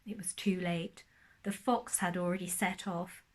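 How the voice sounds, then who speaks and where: Expressive woman reading a children's bedtime story. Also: fairly low pitch, measured pace. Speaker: primary school teacher reading a bedtime story.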